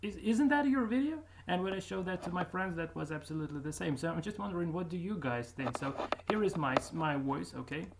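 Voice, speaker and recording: Man, casual vlog, halting restarts, hissy recording. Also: high voice, vocal fry. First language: Russian. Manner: halting, with frequent restarts and filler. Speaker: YouTuber.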